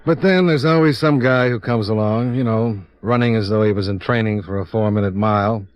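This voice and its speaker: A man plays an American insurance investigator from 1950s radio drama, easygoing and wry. His voice sits on the low side and he delivers understated jokes without pushing. The sound is dry, with no room around it. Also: brisk, no room sound, lo-fi sound, lightly acted. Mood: relaxed, teasing.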